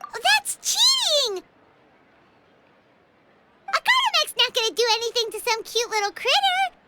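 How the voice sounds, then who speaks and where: A woman voicing a small, excitable, skeptical fairy companion in a video game, with a squeaky childlike voice and an incredulous, exclamatory manner. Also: a little slow, exaggerated voice. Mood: indignant, astonished.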